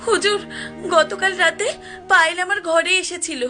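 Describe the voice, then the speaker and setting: An emotional young village woman played by an actress speaks in a very high, dramatic cartoon voice. She is insistent, and music plays loudly behind her. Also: fairly even intonation, normal speed. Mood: distressed. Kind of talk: cartoon voice acting.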